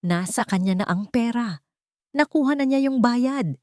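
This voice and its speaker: A woman's voice: a little high, animated, a little slow, darkish tone, no echo, clean studio sound, pitched above the performer's own voice. A woman voicing a haughty, scheming old Filipino matriarch, indignant and trembling, in an audio story. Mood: defensive.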